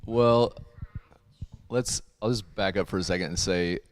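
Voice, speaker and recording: A man's voice: deep voice, a little slow, a little hiss or hum. A man who leads worship, talking in a rambling, earnest way with many restarts on a casual livestream. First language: not Hebrew. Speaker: worship leader and ministry video actor.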